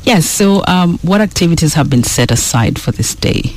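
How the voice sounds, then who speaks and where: A man's voice: fairly high pitch, lively intonation, normal speed. A man hosting a radio interview, asking questions and giving brief affirmations. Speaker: host.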